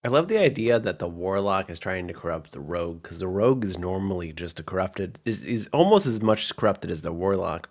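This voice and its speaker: A man working as game master, narrating and commenting on a tabletop game in an informal, explanatory way. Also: low voice.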